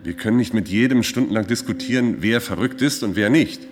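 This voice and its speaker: A man's voice: lowish voice, brisk, natural voice. An actor playing a skeptical, impatient male leader of a space expedition in a German radio drama, terse and matter-of-fact.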